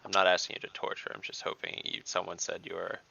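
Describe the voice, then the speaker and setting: A man in an online tabletop game who talks his plans through carefully. His voice is very deep and heavily gravelly, yet bright in tone. The audio is dull and lo-fi, with a faint hiss behind it. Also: monotone, quick pace. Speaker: player.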